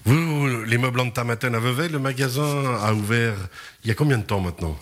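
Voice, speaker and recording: A man hosting a local radio talk show, chatting casually with guests, laughing often and filling pauses with hesitations. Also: medium pitch, a bit bright.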